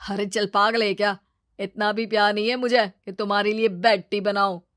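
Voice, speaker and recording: A woman's voice: medium pitch, fairly even intonation, normal speed, fairly bright tone, no echo, clean studio sound, natural voice. A woman voicing a casual, teasing young man with an emphatic delivery in a cartoon story. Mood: scoffing. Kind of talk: animated storybook character acting.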